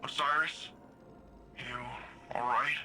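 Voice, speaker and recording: An actor playing a concerned young companion from a futuristic city in game dialogue. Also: male voice, mid-range voice, steady tone, slow pace, bright, forceful tone, faint music behind.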